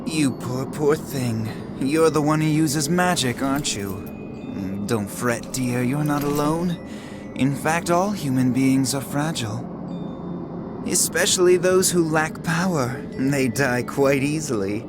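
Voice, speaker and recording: An actor playing a cold, power-hungry villain ruler in a video game cutscene, with theatrical, condescending, drawn-out mocking phrasing. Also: male voice, medium pitch, natural voice. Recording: loud orchestral music behind the voice.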